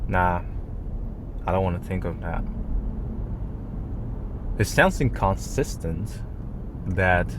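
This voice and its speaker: A man with a low voice and Japanese as his native language talks in a casual, rambling vlog monologue. His phrasing is non-native and slangy, with some swearing. Clear street and vehicle noise sits behind him. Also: expressive, slow, long pauses. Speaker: vlogger.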